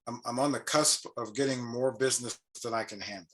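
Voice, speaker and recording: A man gives an update on a video call, speaking in a measured way with a few restarts. His pitch is middling for a man. He sounds like a tax planning advisor talking to peers. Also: steady tone, fairly bright tone. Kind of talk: casual online networking call.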